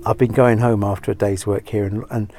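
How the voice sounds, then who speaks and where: A man's voice: lowish voice, little pitch movement, a little fast, mellow, slight background noise. A man being interviewed, answering thoughtfully with hesitations. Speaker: actor interviewed.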